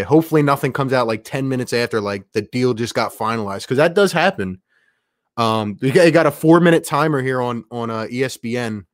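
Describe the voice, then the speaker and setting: Guy hosting a sports stream, hyped and slangy, reads out chat messages, mid pitch, dry sound. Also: no echo. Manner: slangy, exclamatory, addresses listeners as man and bro. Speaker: livestream host. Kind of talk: excited sports livestream chat, reading viewer messages.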